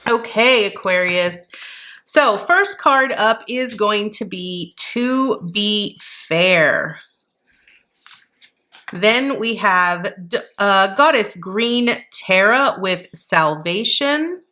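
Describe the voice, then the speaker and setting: A woman giving a monthly tarot reading in a reflective, encouraging way, with a rough voice and a slight cough. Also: medium pitch, lively intonation, drawn-out words, pushed and bright. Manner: reflective and encouraging, with many fillers. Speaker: psychic tarot reader.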